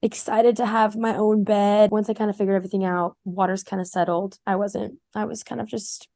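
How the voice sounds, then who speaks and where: Creaky, mellow young woman. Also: mid-range voice, level tone, brisk, dry recording. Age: young adult. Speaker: student interviewee. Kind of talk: casual interview answer.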